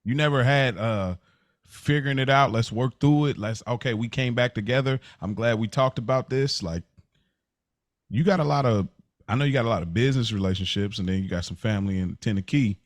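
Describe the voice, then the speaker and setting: A man's voice: medium pitch, a little fast, no room sound. Man, mid-range voice, casual chat, repetitive phrasing, dry room sound. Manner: conversational, repeats himself, checks for understanding. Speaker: podcast panelist.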